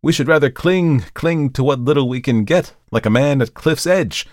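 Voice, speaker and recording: A man's voice: medium pitch, moderate pace, a little mellow, no echo, clean studio sound, pitched above the performer's own voice, close to an everyday voice. A man voicing an agitated, brooding male character in a dramatic storybook reading, speaking with bitter, pleading intensity. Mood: feverish, pleading. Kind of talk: dramatic audiobook character acting.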